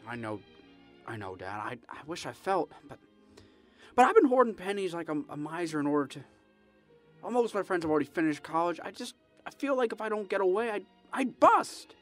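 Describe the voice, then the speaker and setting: Man playing an animated, earnest young dreamer. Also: sits high, fairly quick, faint music behind. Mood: earnest, frustrated. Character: eager, restless young small-town man with big dreams. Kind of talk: old-time radio drama acting.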